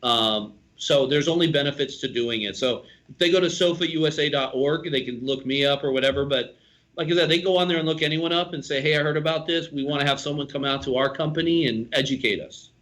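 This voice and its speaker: Guest in his fifties, financial educator, slightly higher voice, upbeat informal pitch. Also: fast talker, a bit bright, rough recording. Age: 50s. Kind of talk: casual radio chat.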